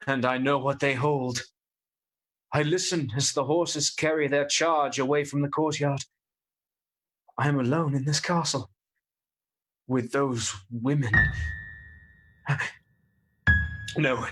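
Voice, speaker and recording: A man's voice: fairly high pitch, lightly acted. An actor playing a frightened, desperate young captive, narrating his journal dramatically and earnestly in a radio drama. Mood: grim, resolute. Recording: loud music under the voice.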